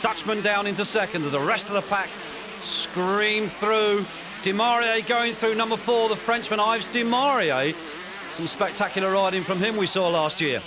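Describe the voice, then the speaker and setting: A man commentating a motocross race in an energetic way that picks up as the action builds. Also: sits high, normal speed, noisy background. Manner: energetic, rising excitement on race calls.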